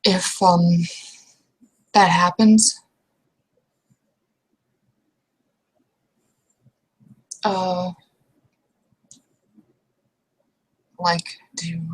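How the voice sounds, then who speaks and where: A woman playing an anxious young female restaurant manager in a roleplay, speaking in a hesitant, halting way. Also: on the low side, husky, low-quality recording. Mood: hesitant, uneasy. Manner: hesitant, halting, fragmented speech.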